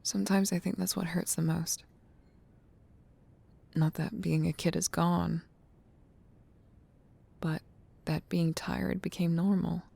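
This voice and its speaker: Woman playing gentle old friend, soft asmr, lowish mellow voice w lots of fry, wistful n reflective. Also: flat delivery, full character voice. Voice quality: soft, breathy near-whisper with creaky fry. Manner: tender, reflective, unhurried. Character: gentle, nostalgic female childhood friend in an old library. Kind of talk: ASMR roleplay, soft intimate talk.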